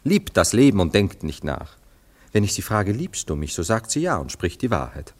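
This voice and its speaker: A jealous gentleman lover in a classic radio play. His voice is low, his delivery theatrical and eloquent, drifting between brooding reflection and cynical, self-dramatising emphasis. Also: big pitch swings, moderate pace. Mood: cynical, agitated. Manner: theatrical, eloquent, musing monologues with emphatic swings. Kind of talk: German literary radio play acting.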